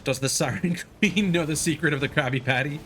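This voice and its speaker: A man's voice: fairly high pitch, a little fast, a bit bright, a little hiss or hum. A man casually chatting and joking while streaming a video game, in a conversational, slightly hesitant way. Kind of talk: casual chat while gaming. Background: video game sea and ship ambience.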